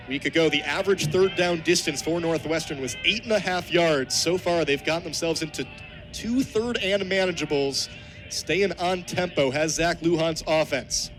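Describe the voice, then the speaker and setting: An American man in his twenties calling live football on radio as play-by-play announcer, energetic and vivid. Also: high voice, rough recording. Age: 20s. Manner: energetic, vivid, builds to excited shouts on big plays. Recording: outdoor stadium ambience, clear background noise. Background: stadium noise.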